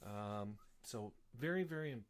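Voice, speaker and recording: A man's voice: lowish voice, animated, measured pace, smooth. A man hosting a casual live show, rambling with many fillers and restarts, sniffly with a slight cough. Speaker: host.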